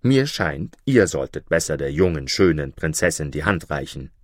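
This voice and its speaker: A man's voice: rumbling low, very animated, moderate pace, dry recording, studio quality, pitched below the performer's own voice, heavily acted. A man voicing an older grand vizier turned stork, grumbling and worried. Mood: fretful.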